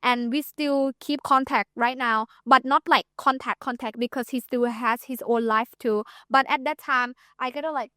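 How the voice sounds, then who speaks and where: A woman's voice: high-pitched, fairly even intonation, normal speed, hardly any pauses, bright, forceful tone, dry recording, studio quality. A young Thai woman with a Thai accent, a guest chatting casually and loosely, full of "like" and "you know". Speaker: guest, TikTok creator. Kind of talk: casual interview chat.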